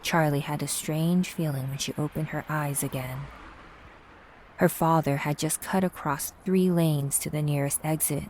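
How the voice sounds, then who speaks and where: A woman narrating a scripted audio drama in a measured, suspenseful storytelling manner. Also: sits low, fairly even intonation, a little mellow, slight background noise.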